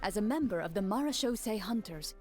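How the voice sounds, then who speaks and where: A woman playing a game master who narrates a fantasy adventure in a measured, theatrical way. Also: mid-range voice, lively intonation, loud music behind the voice, close to an everyday voice. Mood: atmospheric narration. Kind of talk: scripted storytelling narration.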